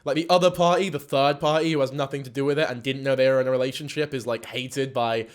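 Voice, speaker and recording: A man's voice: medium pitch, steady tone, fast. A man hosting casual commentary, blunt and sweary, a little ill. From United Kingdom. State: a bit ill. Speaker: commentary host.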